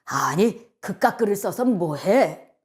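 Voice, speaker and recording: A woman's voice: mid-range voice, animated, slow, darkish tone. A woman voicing a stern, practical middle-aged father in a storybook, firm and authoritative in manner. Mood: scornful. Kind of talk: storybook character acting.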